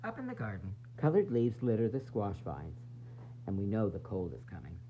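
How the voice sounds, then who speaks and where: A man reading a children's picture book aloud in an expressive, dramatic, sing-song way, with varied emphasis. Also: on the low side, moderate pace. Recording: dull lo-fi sound, faint noise and hum.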